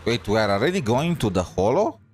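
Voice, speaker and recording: A man doing casual, joking gameplay commentary as a streamer, in a hesitant, halting way. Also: medium pitch, sing-song, a little hiss or hum. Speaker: gaming streamer.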